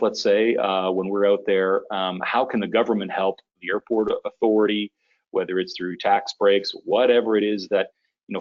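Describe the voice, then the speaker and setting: Low-voiced man hosting a conference call. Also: lo-fi sound. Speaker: conference call host, association leader. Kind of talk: informal business briefing. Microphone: conference call connection.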